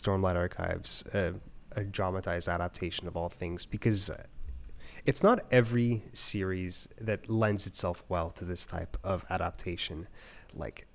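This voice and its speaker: A man's voice: sits low, creaky. A man in a casual review chat, explaining things in an informal, conversational way as a co-host. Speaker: audiobook review show co-host.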